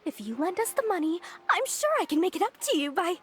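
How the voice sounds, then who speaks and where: Very high-pitched, pleading young damsel. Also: female voice, fast, full character voice. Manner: theatrically tearful, pleading, flattering. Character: sweet, pleading, distressed young village damsel. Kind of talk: exaggerated video game voice acting.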